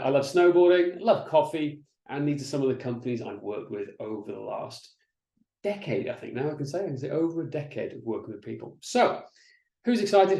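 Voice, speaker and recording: Guy running a business webinar, warm low-key voice, keeps saying right?, echoey room. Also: gravelly. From Wales. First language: Welsh. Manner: energetic, chatty, with frequent restarts and "right?" checks. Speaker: business coach presenting a webinar. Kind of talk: presenting slides in an online masterclass.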